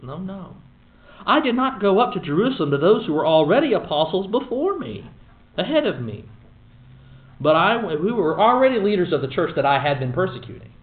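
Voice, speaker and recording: A man teaching a Bible study in a lively, emphatic way with wry asides. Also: high voice, brisk. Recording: echoey room over a tinny phone-like line.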